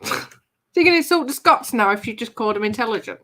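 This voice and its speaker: A woman's voice: fairly high pitch, animated, bright, forceful tone. A woman from Yorkshire with a Yorkshire accent, hosting a live craft stream with playful, curious banter. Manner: playful, curious, quick to retort.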